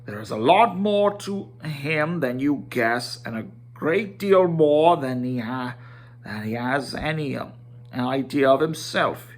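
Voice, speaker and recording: A man's voice: mid-range voice, animated, takes it slowly. A man voicing a stern old wizard from a fantasy storybook, speaking with emphatic, scolding authority. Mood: insistent, commanding.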